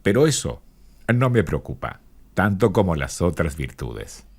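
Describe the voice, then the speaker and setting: An actor playing a suave, candid male film star answering in a dramatized magazine interview, with measured, courtly phrasing. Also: sits low, big pitch swings, vocal fry, slight background noise, heavily acted.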